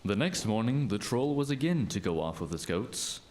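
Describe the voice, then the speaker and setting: A man's voice: on the low side, big pitch swings, normal speed, darkish tone. A man playing a storyteller, narrating a scripted folktale. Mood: storytelling.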